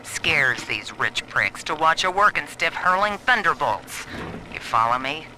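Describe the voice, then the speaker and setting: An actor playing a brash, sardonic working-class instructor in a video game, wry, bossy and blunt. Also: male voice, a little high, big pitch swings, measured pace, background noise. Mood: sardonic, instructive. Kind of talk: scripted video game monologue.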